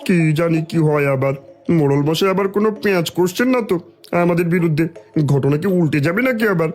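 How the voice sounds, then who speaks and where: A man voices a crafty village false witness for a cartoon tale. The voice is high, smug and gossipy. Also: lively intonation, fast, close to an everyday voice. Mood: scheming. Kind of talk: Bengali fairy tale cartoon dialogue.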